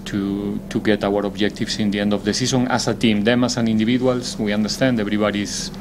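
Low-voiced football coach fielding questions. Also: male voice, steady tone, background noise. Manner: measured, deliberate, pauses to search for words. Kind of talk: post-match press conference answers.

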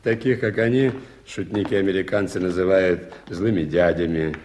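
Actor as deep-voiced, well-informed gentleman. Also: steady tone, moderate pace, close to an everyday voice. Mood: earnest, explanatory. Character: polite, well-informed gentleman explaining a guarded estate. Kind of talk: Soviet radio play acting. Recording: noisy 1980s radio play recording.

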